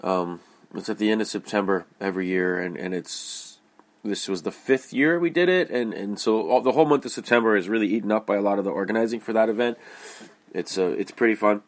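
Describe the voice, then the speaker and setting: A man's voice: lowish voice, fairly quick. A man with a stuffy, congested voice hosting his podcast in a rambling, casual way, full of fillers and restarts. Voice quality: nasal, stuffy. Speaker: podcast host. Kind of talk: casual solo podcast monologue.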